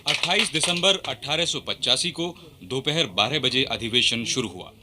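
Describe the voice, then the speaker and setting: A man's voice: mid-range voice, lively intonation, moderate pace, over loud music. A man narrating a scripted historical radio drama.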